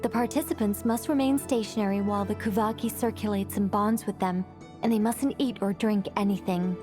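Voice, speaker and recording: An actress playing a calm, methodical woman scholar in a video game, speaking in a composed, precise, explanatory manner. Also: mid-range voice, brisk, over music, natural voice. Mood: explaining. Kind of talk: video game cutscene voice acting.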